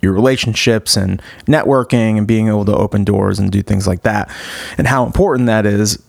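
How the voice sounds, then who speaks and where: Gravelly low-voiced man hosting a podcast. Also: brisk, no echo. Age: 30s. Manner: rambling, filler-heavy, enthusiastic. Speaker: podcast host. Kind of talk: casual podcast intro and chat.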